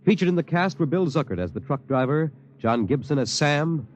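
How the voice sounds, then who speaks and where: Animated American male radio announcer. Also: a little high, a little fast, slight background noise. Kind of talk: 1950s scripted radio announcing. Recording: dull, lo-fi 1950s radio broadcast.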